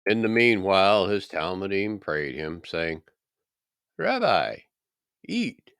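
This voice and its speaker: Man, very low smooth voice, solemn Bible narration, measured dramatic pauses, dry close sound. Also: fairly bright tone, no room sound. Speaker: scripture reader. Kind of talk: solemn scripted Bible reading.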